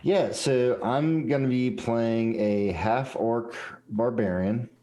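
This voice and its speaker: A man's voice: medium pitch, slow, mellow. A young man chatting casually as a tabletop game player, hesitant with many fillers and restarts. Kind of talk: casual chat about a tabletop character.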